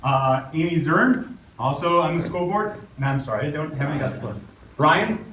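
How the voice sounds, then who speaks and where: A man running a community meeting, his loud voice a little high. He talks in an upbeat way with frequent fillers. The recording is roomy and picked up from across the room. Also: lively intonation, moderate pace. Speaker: meeting moderator. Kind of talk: public meeting emcee. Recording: roomy, distant, with faint noise.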